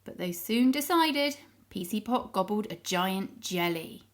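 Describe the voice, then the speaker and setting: A woman reading a story aloud to young children in an expressive storytelling style. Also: mid-range voice. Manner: pauses to ask the child listeners questions.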